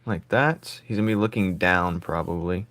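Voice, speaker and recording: Raspy man, low register, casual live-drawing streamer who rambles to himself and his viewers. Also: normal speed, fairly bright tone, no echo. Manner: rambling, thinking aloud, joking with viewers.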